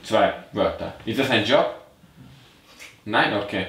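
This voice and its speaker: Lively young man in an echoey room. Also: on the low side, unhurried. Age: young adult. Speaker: player in a family charades game. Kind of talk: casual playful banter. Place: small bedroom, indoors. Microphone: camera a short distance away. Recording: echoey room, faint noise.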